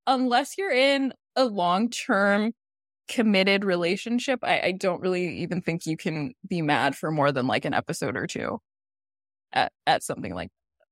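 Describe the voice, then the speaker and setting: A young woman co-hosting a podcast, gossiping in a rambling, animated way full of "like"s. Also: mid-range voice, no echo, studio quality. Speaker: podcast co-host. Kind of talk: casual chat.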